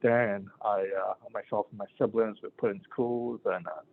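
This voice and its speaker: A man's voice: lowish voice, fairly even intonation, moderate pace, creaky. A middle-aged man from Ghana, a physician giving a casual talk to students, rambling with many fillers and restarts. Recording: tinny phone-like sound.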